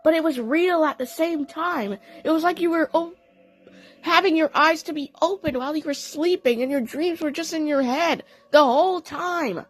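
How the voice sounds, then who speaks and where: A woman narrating a fan audio play in the first person, telling the story in an earnest, deliberate, dramatic way. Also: high voice, low-quality recording.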